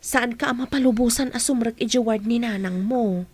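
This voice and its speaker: A woman's voice: fairly high pitch, normal speed, a little mellow, close to an everyday voice. A middle-aged woman playing a kindly, helpful auntie in an audio drama, with an Ilocano Filipino accent.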